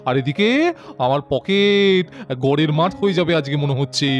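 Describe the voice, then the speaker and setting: Guy doing a stingy sweet-talking cartoon husband, high voice, theatrical, faint music behind. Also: moderate pace, lightly acted. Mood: wry, grumbling. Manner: theatrical, muttered asides and coaxing. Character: wry, penny-pinching husband who sweet-talks his wife. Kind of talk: doll cartoon story voice acting.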